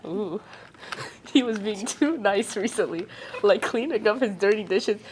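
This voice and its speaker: A woman's voice: medium pitch, a little mellow, slight background noise. Woman reading a story, joking as she goes. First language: not English. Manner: reads haltingly, breaks off to joke and laugh. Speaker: podcast co-host reading a story aloud. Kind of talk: casual chat, reading a ghost story aloud.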